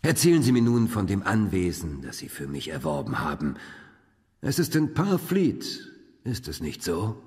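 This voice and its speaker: A man playing a courtly, menacing old vampire count in a German radio horror drama, speaking formally and deliberately. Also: sits low, wildly swooping pitch, a little mellow. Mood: eager, brooding. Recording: long reverberant hall.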